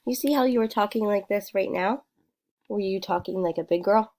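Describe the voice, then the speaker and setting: A woman's voice: mid-range voice, moderate pace, a little mellow. A woman talking casually at home as a mother, coaching a young child through reading with encouraging, short prompting questions.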